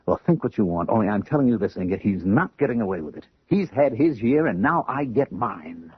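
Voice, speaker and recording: A man's voice: fairly low pitch, animated, fairly quick, breathy edge, no room sound. Urgent, obsessed man, muffled vintage radio. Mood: bitter, vengeful. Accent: American. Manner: urgent, insistent, stammering under stress. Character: agitated, obsessed man bent on vengeful payback. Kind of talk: old-time radio drama acting.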